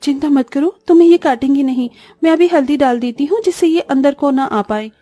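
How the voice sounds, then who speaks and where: A woman's voice: high-pitched, a little fast, warm tone, no room sound, faint music behind, close to an everyday voice. A woman voicing a burdened but caring orphaned eldest sister, a young woman in a cartoon story, speaking wearily and reassuringly. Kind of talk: Hindi cartoon story voice acting.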